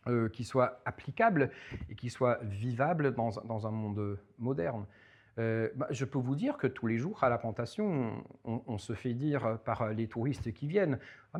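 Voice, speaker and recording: A man delivers a public lecture at an ordinary mid pitch. He talks with frequent fillers, restarting his phrases and repeating words as he searches for them. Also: darkish tone. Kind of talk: public lecture with audience questions.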